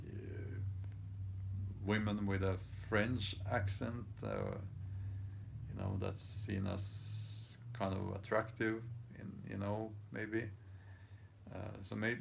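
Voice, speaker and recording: A man in his forties with a Norwegian accent, hosting his channel and reading out viewer comments in casual chat, thoughtful and halting with many ums and restarts. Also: fairly low pitch, creaky, slight background noise. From Norway. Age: 40s. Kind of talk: casual live-stream chat.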